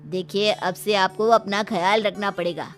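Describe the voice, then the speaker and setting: A woman playing a calm, serious doctor who gives measured advice in a cartoon story. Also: medium pitch, soft background music, natural voice. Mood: calm, advising. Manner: measured, advising.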